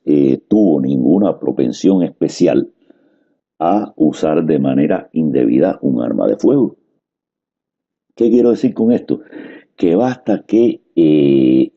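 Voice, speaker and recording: A middle-aged man from Cuba with a Cuban Spanish accent, talking through a personal monologue in an unhurried, reflective way with frequent hesitations. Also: a bass voice, gravelly, mellow, slightly rough. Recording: dull lo-fi recording.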